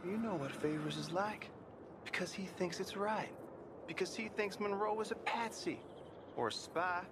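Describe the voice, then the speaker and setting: A man's voice: sits high, normal speed, background noise, close to an everyday voice. An actor playing a concerned army man confiding grim intrigue in a video game drama, urgent in manner. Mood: urgent, worried.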